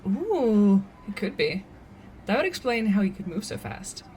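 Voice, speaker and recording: A woman playing an excitable, playful young coworker chatting casually and breezily in an audio drama. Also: mid-range voice, a little slow, a little hiss or hum. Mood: excited.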